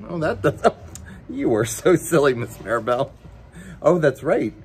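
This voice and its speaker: A man's voice: fairly high pitch, sing-song, measured pace, a little mellow. A man reading a children's picture book aloud as story time host, with playful, chatty asides to his pet dog.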